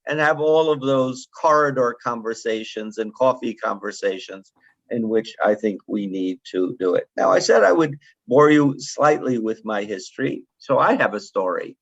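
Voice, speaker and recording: A man in his seventies giving closing remarks at a conference, speaking in a measured, digressive way with frequent fillers and restarts. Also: medium pitch, lively intonation, no room sound. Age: 70s. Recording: dull lo-fi sound, dry.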